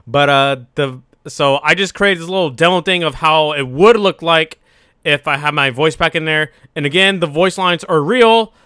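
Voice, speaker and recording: A man's voice: fairly high pitch, normal speed, sharp tone, clean tone, no room sound, clean studio sound. A man who makes gaming videos, chatting in a casual, offhand, rambling way as he explains things. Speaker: YouTube gamer.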